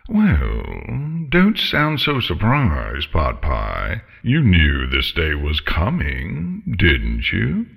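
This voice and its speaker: A man's voice: sits low, wildly swooping pitch, extremely slow, creaky, few pauses, a bit bright, slightly rough, big-room sound, exaggerated voice. A man voicing a female dragon in a storybook, chipper and prim, with nonchalant, condescending menace. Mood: casually menacing.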